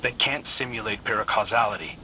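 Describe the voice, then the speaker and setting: A man's voice: on the low side, a little fast, sharp tone. A man playing a wary, brooding sci-fi adventurer in a video game, guarded and questioning. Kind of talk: video game character dialogue. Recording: very noisy game ambience behind.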